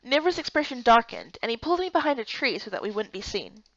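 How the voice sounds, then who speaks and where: Female narrator for a fantasy visual novel, high and lively, expressive storytelling, dry but slightly dull recording. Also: fast talker, dry recording. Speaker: narrator and voice actor.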